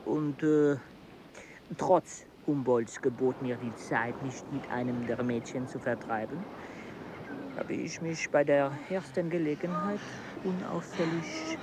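A man's voice: a little high, lively intonation, slow, noisy background. An actor playing an easygoing, lustful French assistant who recounts his travels wryly in a radio play. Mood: sheepish. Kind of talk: German radio play acting and narration.

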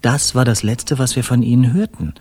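An actor in a radio drama playing a polite, uneasy bohemian man of the world, speaking in a courteous, measured, conversational way. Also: medium pitch, a little mellow, slightly rough, lightly acted. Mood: recounting.

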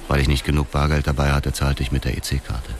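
An actor playing a cold, bitter middle-aged widower bent on revenge, brooding and controlled, in a radio drama. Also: male voice, rumbling low, little pitch movement, fairly quick, heavy background noise. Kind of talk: radio drama narration and dialogue.

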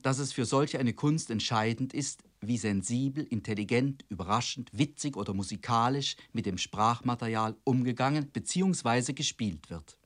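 A man delivers a scripted lecture with a formal, didactic manner. His pitch moves in a lively way as he gives weight to key words, and his voice sits in a middle register. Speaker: presenter of a radio lecture. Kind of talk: scripted lecture on literature and radio drama.

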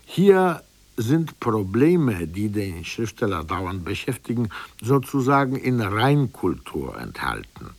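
Slow, expressive man reading a formal lecture. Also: lowish voice, no echo. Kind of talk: formal scripted lecture, read aloud.

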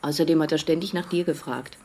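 A woman's voice: low-pitched, fairly even intonation, fast, warm tone, a little hiss or hum, close to an everyday voice. An actress playing a tired, wry best friend in her forties in an audio drama, speaking in a dry, weary way. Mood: hungover, wry. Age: 40s.

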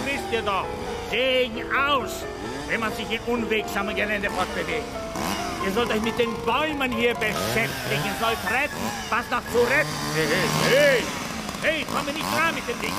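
A bullying foreman with a very high, strained-up male voice, barking coarse orders and sounding close to panic. It is radio drama, with loud music and effects behind him. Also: lively intonation, unhurried, vocal fry, heavily acted. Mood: alarmed, angry. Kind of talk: German radio drama acting.

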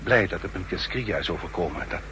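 A man playing an old double spy posing as an ailing perfume salesman, speaking in halting, broken phrases in a 1960s radio drama. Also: sits low, animated. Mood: weary, grateful. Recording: hissy, noisy 1960s radio recording.

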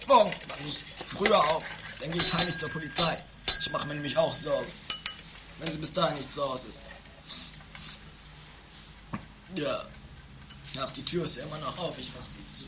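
A man acting a theatrical, authoritarian father who stammers and bursts into shouts in an improvised trash radio comedy. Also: a little high, brisk, lightly acted. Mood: worried, tearful. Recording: noisy 1980s home-made radio play recording.

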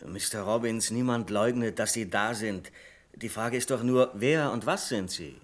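A man acting in a German radio play as a level-headed, deferential bank clerk, politely and insistently measured. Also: lowish voice, lightly acted. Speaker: radio drama actor.

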